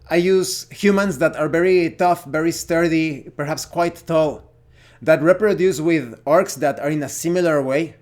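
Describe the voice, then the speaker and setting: A man presenting an opinionated explainer as a video host on tabletop role-playing games, talking in deliberate phrases broken by pauses. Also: fairly high pitch, a little mellow. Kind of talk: opinionated explainer talk to camera.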